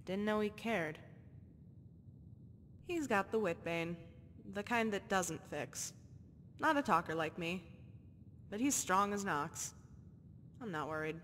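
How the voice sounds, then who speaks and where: A woman's voice: animated, takes it slowly, pauses often, fairly bright tone. An actress voicing a tough, guarded orphan girl who talks in clipped, terse phrases. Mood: gruff. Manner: clipped, terse street talk. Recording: game audio with long reverb and faint rumble.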